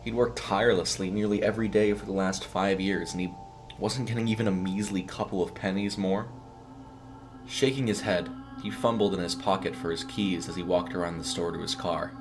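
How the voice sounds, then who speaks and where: A man's voice: deep voice, steady tone, fairly quick, faint music behind. A man reading a scripted short story as a dramatic narrator.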